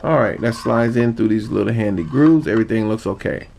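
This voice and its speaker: A man giving an informal how-to demonstration, explaining step by step in a halting manner. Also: on the low side, creaky, a little mellow, slight background noise. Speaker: hobbyist demonstrator. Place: indoor room.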